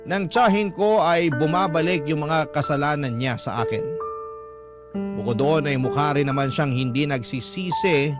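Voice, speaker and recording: Man, Filipino accent, slightly high pitch, dramatic scripted radio narration, music bed. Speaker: radio storyteller. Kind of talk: dramatic scripted narration of a first-person letter.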